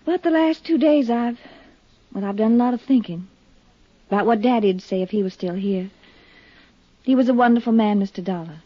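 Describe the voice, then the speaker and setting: A woman's voice: on the high side, normal speed, pauses often, no echo, close to an everyday voice. An actress playing a poised, earnest, grieving young woman in a 1950s radio drama, confiding yet firm. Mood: wistful, earnest. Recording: dull, dry 1950s radio recording.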